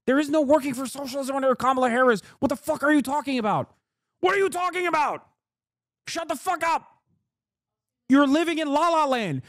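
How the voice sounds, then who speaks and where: A man's voice: very high voice, animated, fairly quick, fairly bright tone, dry recording, studio quality. A man in his thirties, a streamer, ranting in heated, profane reaction commentary and laughing as he talks. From Turkey. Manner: laughs through his lines.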